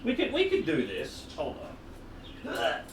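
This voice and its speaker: A man's voice: very high voice, wildly swooping pitch, unhurried, heavy background noise. A man chatting casually as he streams a game from a room at home. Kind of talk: casual live stream chat. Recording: roomy, echoey stream with faint music. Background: faint game music.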